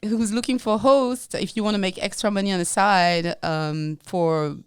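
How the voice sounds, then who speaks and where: A woman from Congo who grew up in France, speaking with a French accent as a podcast co-host, telling her story in a lively way, laughing and acting out what people said. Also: medium pitch, moderate pace, clean tone, no room sound. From Congo, raised in France. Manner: lively, laughs often, acts out quotes. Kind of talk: casual interview storytelling.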